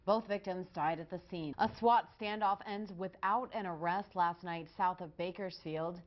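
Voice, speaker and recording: A woman's voice: on the low side, moderate pace, highs cut off, slight background noise. A woman with an American accent reading scripted television news as an anchor.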